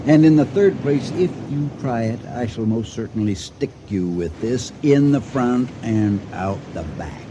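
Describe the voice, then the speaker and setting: An actor playing a calm, shrewd elderly farmer in an old-time radio drama, speaking in a measured, courteous but firm way. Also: male voice, medium pitch, lo-fi sound, background noise, lightly acted. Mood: firm, threatening. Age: older. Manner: measured, courteous, dryly admonishing.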